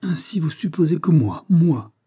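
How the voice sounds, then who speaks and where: A man's voice: medium pitch, normal speed, mellow, husky, no room sound, clean studio sound. Man voicing a desperate, pleading young court maiden. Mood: indignant. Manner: impassioned, breathless exclamations and pleading.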